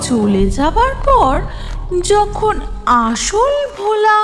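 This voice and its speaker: A woman narrating a scripted cartoon story, breaking sentences into short phrases with dramatic pauses. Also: very high voice, huge pitch swings. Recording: music under the voice.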